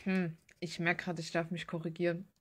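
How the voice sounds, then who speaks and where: A woman's voice: lowish voice, level tone, normal speed, a bit bright. A woman chatting casually in an informal, rambling, self-deprecating way, as a video creator talking about her art. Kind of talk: casual chat over finished drawing. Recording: faint background noise with clicks.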